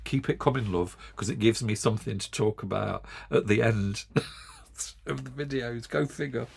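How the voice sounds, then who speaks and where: A man's voice: medium pitch, normal speed, creaky, slightly rough. A middle-aged English man with an English accent, hosting a knitting channel and chatting wryly to camera with frequent chuckles. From England. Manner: chatty, wry, chuckles often. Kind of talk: casual chat to camera.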